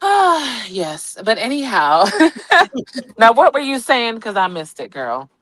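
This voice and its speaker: A woman's voice: on the high side, very animated, slow, a bit bright. A woman guest on a livestream, chatting casually and sassily from a truck stop while on the road for work. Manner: sassy, calls people girl and honey. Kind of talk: casual chat.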